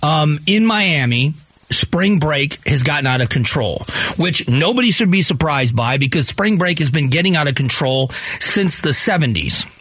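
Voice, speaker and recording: Husky American man hosting talk radio. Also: fairly high pitch, animated, normal speed, a bit bright, no room sound. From Florida, United States. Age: 50s. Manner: opinionated, repeats phrases for emphasis. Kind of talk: conversational radio monologue on news. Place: radio studio.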